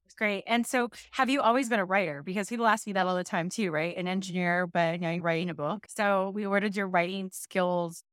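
A woman's voice: mid-range voice, fairly even intonation, brisk, hardly any pauses, clear, resonant tone, no echo, studio quality. A woman hosting an interview, friendly and affirming, who asks open questions. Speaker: podcast host.

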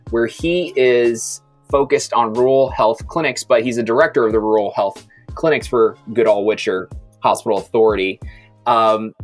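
An enthusiastic man hosting a health podcast, introducing and interviewing a guest. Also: medium pitch. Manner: upbeat, enthusiastic. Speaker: podcast host.

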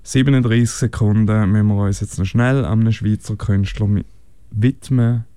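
A man's voice: lowish voice, fairly even intonation, normal speed, dark tone, clean tone, no room sound. A man chatting casually as co-host of a music radio show. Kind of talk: casual studio chat between records. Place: studio.

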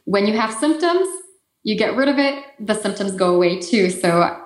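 A woman's voice: medium pitch, lively intonation, moderate pace. Eastern European woman explaining, echoey room. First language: Polish. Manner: explanatory, many fillers and restarts. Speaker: guest expert. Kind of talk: interview answers.

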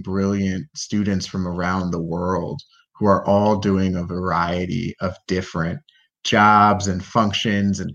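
A man who is a business school graduate, a podcast guest, talking in a measured, articulate way full of business terms. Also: deep voice, lo-fi sound. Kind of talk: casual podcast interview.

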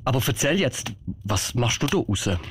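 A man's voice: mid-range voice, sing-song, moderate pace, breathy edge. A man with a Swiss German accent playing a kindly, flustered old Santa Claus in an amateur radio play. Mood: surprised, caring.

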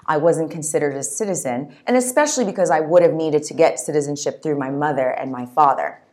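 Low-voiced woman author, earnest and reflective. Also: fairly quick, darkish tone. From Zambia. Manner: earnest, reflective, with frequent "you know" and "right?". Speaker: author guest. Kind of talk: interview conversation.

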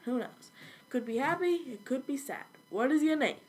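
High-voiced teen girl interviewer. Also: lively intonation, moderate pace, darkish tone. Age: young teen. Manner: chirpy, reads jokes and questions from a script. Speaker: student interviewer and show host. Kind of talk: school project interview.

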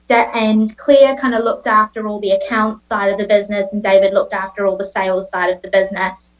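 A woman's voice: medium pitch, little pitch movement, fairly quick. A New Zealand woman in her late twenties talks casually as an interview guest. She rambles a little, filling gaps with ums and restarting her sentences. The recording sounds a bit dull and lo-fi. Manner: chatty, many ums and restarts.

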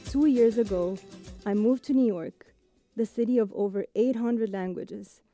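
Woman, 30s, from Egypt, mixed British-American accent with Egyptian and Italian traces; deep mellow timbre, calm reflective delivery of a scripted audio essay. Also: dry recording, faint music behind. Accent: blend of British and American English with Egyptian and Italian touches. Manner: measured, reflective narration. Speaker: audio journalist. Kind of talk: scripted first-person radio essay.

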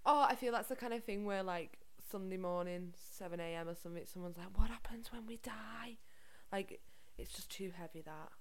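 A woman's voice: mid-range voice, normal speed. A woman from Liverpool co-hosting a casual chat podcast, telling stories and swearing freely. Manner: chatty, swears freely, lively storytelling. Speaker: podcast co-host.

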